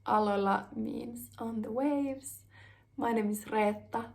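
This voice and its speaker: A woman's voice: fairly high pitch, slow pace, creaky. A young woman from Finland hosting a knitting podcast, chatting casually with fillers and small laughs while her voice recovers from an illness. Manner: relaxed, rambling, many fillers and small laughs. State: voice still recovering from a cold. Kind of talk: casual chat to camera.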